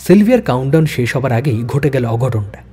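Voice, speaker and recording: A man giving a dramatic scripted narration of a suspense story, with deliberate phrasing. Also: mid-range voice, big pitch swings, warm tone, breathy edge. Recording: long reverb, background music.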